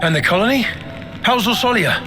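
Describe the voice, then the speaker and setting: An easygoing, modest soldier in a video game. His voice sits fairly high and his delivery is breezy, with music clearly playing behind him. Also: lively intonation, a little fast. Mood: concerned. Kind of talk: video game cutscene voice acting.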